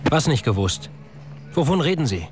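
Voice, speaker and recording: A man's voice: fairly high pitch, very animated, normal speed. An actor playing a brusque, cynical tech company man in a German radio drama, curt and sardonic. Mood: sardonic, defensive. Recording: music behind the voice.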